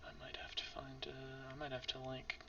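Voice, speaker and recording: A man who is losing his voice from illness, giving casual let's-play commentary in a hoarse, mumbling, often half-whispered way. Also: medium pitch, monotone, normal speed, recorded from a distance, dull audio, noisy background. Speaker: streamer playing a game.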